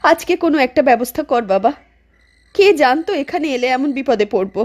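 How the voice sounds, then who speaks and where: A woman's voice: high-pitched, lively intonation, fairly quick, a little mellow. A woman playing an anxious widowed mother in a horror cartoon, speaking in a plaintive, worried way. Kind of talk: Bengali horror cartoon acting.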